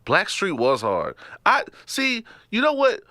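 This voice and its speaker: A man's voice: high voice, slow pace, no echo, studio quality. A man from Texas with a Texas accent, co-hosting a podcast in casual, joking chat that often breaks into laughter. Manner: animated, joking, laughs often, trails off and restarts. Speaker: podcast co-host.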